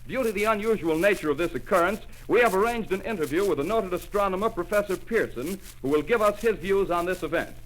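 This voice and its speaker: Actor playing a high, fast 1930s announcer. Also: male voice, lightly acted, poor audio. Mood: formal. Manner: crisp, formal announcing. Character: formal male studio news announcer.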